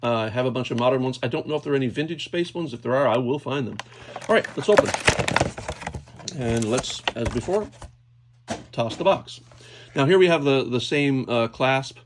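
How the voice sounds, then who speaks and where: A man's voice: medium pitch, animated, normal speed, long pauses. A man hosting a casual, unscripted toy unboxing, thinking aloud hesitantly as he handles things. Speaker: toy unboxing video host. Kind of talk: casual unscripted hands-on commentary. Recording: clear background noise, clicking handling sounds.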